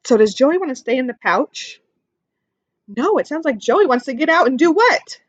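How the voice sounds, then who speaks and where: High, very animated woman reading to children. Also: moderate pace, no room sound, muffled recording. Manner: animated, questioning, explains simply, exclaims in sympathy. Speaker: teacher reading a picture book aloud. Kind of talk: interactive read-aloud lesson for young children.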